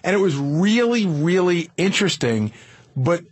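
A man's voice: sits high, expressive, measured pace, husky. A man on a radio panel, talking casually and rambling with repeated phrases. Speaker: radio show staffer and panelist. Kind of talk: casual discussion.

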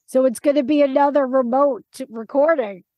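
A woman's voice: high-pitched, moderate pace, no room sound, dull audio. A woman, middle-aged, hosting a podcast interview in a conversational, affirming way.

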